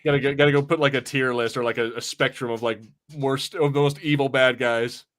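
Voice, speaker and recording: A man's voice: mid-range voice, fairly even intonation, a little fast, fairly bright tone. A man co-hosting a podcast with casual, conversational banter about pop culture. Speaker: podcast co-host.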